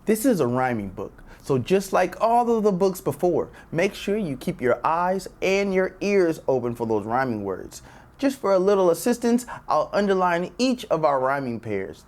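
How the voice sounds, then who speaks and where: A man hosting a children's read-aloud, addressing young viewers directly with drawn-out emphasis. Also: on the high side, darkish tone. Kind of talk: presenting and reading a children's picture book.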